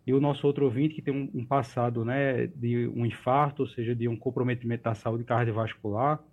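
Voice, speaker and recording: A male geriatrician speaks on a radio call-in program in a mid-pitched voice. He explains in a calm, measured way, often checking in with a quick filler. His tone is reassuring and informative. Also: dull audio. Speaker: doctor, geriatrician guest. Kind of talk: radio health advice.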